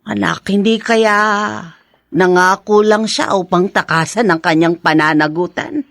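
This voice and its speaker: A woman's voice: on the low side, a little slow, gravelly, no echo, clean studio sound. An actress playing a practical, doubting middle-aged mother in a radio drama, with a homely, fussing manner. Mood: doubtful. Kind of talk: Filipino radio drama acting.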